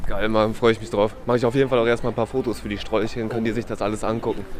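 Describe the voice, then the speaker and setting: Chatty fast-talking man with a cold. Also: on the low side, little pitch movement, darkish tone. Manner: chatty, upbeat, slangy. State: has a cold. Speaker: vlog host. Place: outdoors in a city. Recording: outdoor street noise behind.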